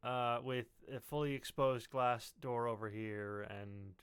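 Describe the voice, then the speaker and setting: A man's voice: mid-range voice, fairly even intonation, measured pace, a crowd in the background. A man co-hosting a podcast from his basement, chatting casually in a wry, self-deprecating way. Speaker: podcast co-host. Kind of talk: casual, joking chat. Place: basement at home.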